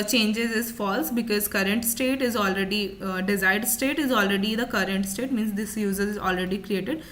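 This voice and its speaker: A woman gives a software tutorial in a fairly high, very bright voice. She explains each step of what is on screen and restates key points as an instructor would.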